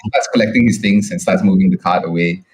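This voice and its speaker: A man narrating a tabletop game as game master, improvising in a halting way. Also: deep voice, darkish tone, slight background noise.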